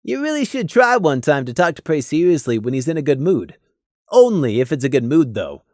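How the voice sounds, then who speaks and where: A narrator voicing a gruff but caring male pony with a frank, grumbling manner. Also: medium pitch, big pitch swings, brisk, dry recording, studio quality, lightly acted. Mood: frank advice. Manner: frank, grumbling, awkwardly candid.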